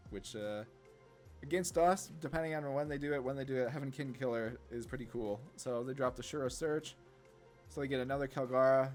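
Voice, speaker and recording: A man giving casual, laid-back gameplay commentary on a card game, thinking aloud as he plays. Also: mid-range voice. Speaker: gaming content creator.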